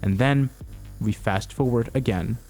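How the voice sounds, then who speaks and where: A man narrating a scripted explainer as a video essayist, telling the story in a measured way with wry, informal asides. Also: medium pitch, a little mellow. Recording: music bed behind the voice.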